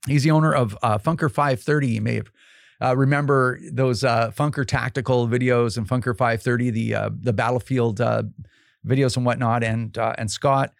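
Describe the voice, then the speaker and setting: Gravelly, worn-voiced Canadian podcast host. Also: male voice, mid-range voice, fairly even intonation, moderate pace, no room sound, studio quality. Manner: rambling and emphatic, with many fillers and restarts. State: voice getting worn out. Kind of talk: casual podcast monologue.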